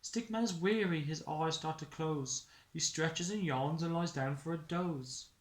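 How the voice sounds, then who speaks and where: A male teacher with a slightly high voice reads a picture book for young children. He is expressive and explains things simply. The room is noticeably echoey. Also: measured pace. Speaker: early years class teacher.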